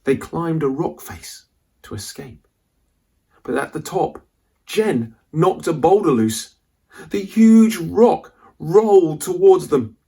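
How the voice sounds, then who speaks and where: A man reading a children's adventure story aloud as a dramatic, suspenseful narrator with deliberate delivery. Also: on the high side, lively intonation, mellow, husky.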